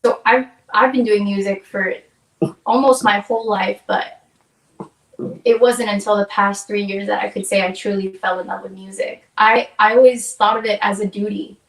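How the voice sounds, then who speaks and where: A woman's voice: medium pitch, fairly even intonation, moderate pace, recorded from a distance. Chatty young woman from Hawaii, echoey. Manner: upbeat, chatty, acts out quoted exchanges. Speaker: guest singer-songwriter. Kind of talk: casual interview chat. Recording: echoey room, voice from off mic.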